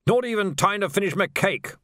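A man's voice: high voice, expressive, fairly quick, bright, forceful tone, dry recording, clean studio sound, pitched above the performer's own voice. A man voicing a muddled, frightened male ruler with a querulous, bewildered manner in an audiobook. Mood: fuddled, plaintive.

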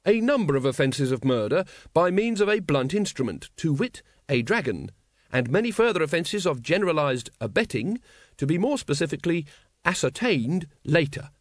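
An earnest young constable, acted by a male narrator, pitched up and stiffly official. He recites in stilted, literal-minded formal phrases, as in a comic fantasy storybook. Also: sing-song, moderate pace, dry recording, clean studio sound. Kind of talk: audiobook character acting.

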